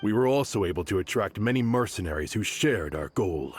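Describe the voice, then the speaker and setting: A man's voice: fairly low pitch, expressive, a little fast, slight background noise, lightly acted. An actor playing a grim male mercenary who reports in a matter-of-fact way.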